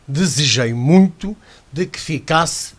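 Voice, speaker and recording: Portuguese man, slightly high voice, rambling radio pundit. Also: lively intonation, slow. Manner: rambling, self-correcting, proud. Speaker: panel commentator. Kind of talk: radio sports panel chat.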